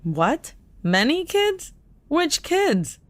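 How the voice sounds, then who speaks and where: Slow-spoken, concerned mother. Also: high voice, sing-song, no room sound, exaggerated voice. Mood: worried, questioning. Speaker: actor in a full-cast audio drama. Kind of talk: sci-fi audio drama acting.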